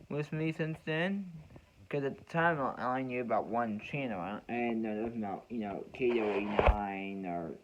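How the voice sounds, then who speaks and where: A man talking casually and reminiscing to viewers as a hobbyist host, in a halting way with repeated phrases. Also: mid-range voice, background noise. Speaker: weather radio hobbyist hosting a video. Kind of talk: casual, reminiscing vlog talk. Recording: dull, lo-fi home recording.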